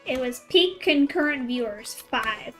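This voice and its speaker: High-voiced, slow-paced woman streamer. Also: expressive. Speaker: game streamer. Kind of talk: casual livestream chat over gameplay. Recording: faint game music behind.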